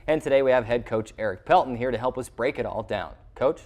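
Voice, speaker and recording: A man's voice: medium pitch, fast, darkish tone. A man hosting a sports interview show in a television studio, with a wordy broadcaster's way of presenting.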